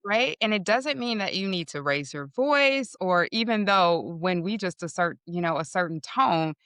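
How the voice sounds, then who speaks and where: A woman guest with a deeper voice, explaining in a measured way and often checking with "right?". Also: expressive, a bit bright, no room sound, clean studio sound. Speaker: podcast guest. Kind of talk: casual interview conversation.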